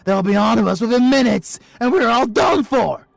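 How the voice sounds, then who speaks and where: A frantic shouting thug. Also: male voice, very high voice, lively intonation, normal speed, creaky, no room sound, pitched above the performer's own voice, exaggerated voice. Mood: panicked. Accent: British. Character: panicked young male thug onlooker.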